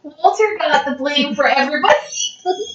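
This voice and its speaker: A woman reading a story aloud informally, with chatty asides and an animated, giggly manner. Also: high-pitched, a little fast, highs cut off, a crowd in the background. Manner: animated, giggly, easily cracked up.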